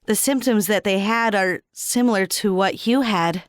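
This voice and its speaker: A woman's voice: mid-range voice, moderate pace, no echo, studio quality, lightly acted. A woman playing a laid-back traveler confiding in a friend, in a casual, conversational audio drama style. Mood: quietly concerned.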